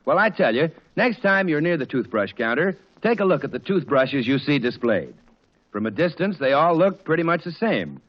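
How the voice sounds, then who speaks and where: A man's voice: a little high, big pitch swings, normal speed. An American man announcing in the polished sales style of 1940s radio. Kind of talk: 1940s radio advertising and scripted narration. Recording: dull, muffled 1940s radio recording.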